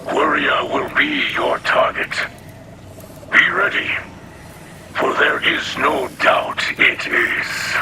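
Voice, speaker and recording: Slow, whispery alien splicer elder. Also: male voice, a little high, wildly swooping pitch, gravelly, very noisy, heavily acted. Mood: solemn, resolute. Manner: halting, clipped, formal phrases. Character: solemn alien insectoid splicer leader, male. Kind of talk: video game cutscene acting. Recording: music behind the voice. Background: music.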